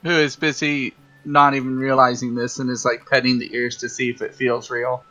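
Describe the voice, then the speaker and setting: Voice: mid-range voice, level tone, normal speed, sharp tone. A tabletop game player casually narrating their character's actions in the third person. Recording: dull lo-fi mic, faint music behind. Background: faint music.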